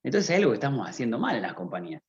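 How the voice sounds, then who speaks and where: Argentine man, conference speaker, medium pitch, rhetorical questions, slightly dull lo-fi sound. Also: huge pitch swings, very fast. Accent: Argentine Spanish. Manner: rhetorical questions, addresses listener directly. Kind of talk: motivational business talk. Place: conference stage.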